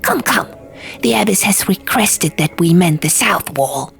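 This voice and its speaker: A woman's voice: medium pitch, lively intonation, a little slow, creaky, fairly bright tone, pitched above the performer's own voice. A woman voicing a fussy male stone gargoyle in an audiobook, with stilted, quaint formality. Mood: officious. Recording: music under the reading.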